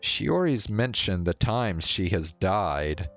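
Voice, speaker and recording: A man reading a visual novel aloud as a streamer, in measured narration with the odd stumble. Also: low-pitched, sing-song, fairly bright tone. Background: faint music.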